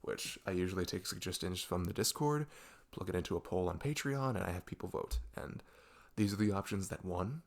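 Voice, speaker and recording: Deep-voiced, creaky man chatting casually. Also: expressive, fairly quick. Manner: self-deprecating, chatty, informal. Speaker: audio roleplay creator introducing a video. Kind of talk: casual rambling intro with plug.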